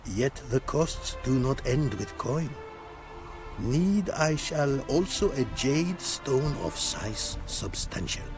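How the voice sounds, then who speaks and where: An actor playing a courteous, proud scholar-artisan in a video game, speaking in formal, inverted, archaic phrasing. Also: male voice, medium pitch, animated, music in the background. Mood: cautious, earnest. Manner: formal, inverted archaic syntax, deliberate emphasis. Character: courteous, proud foreign male rune craftsman, melancholy scholar. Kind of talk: video game cutscene acting.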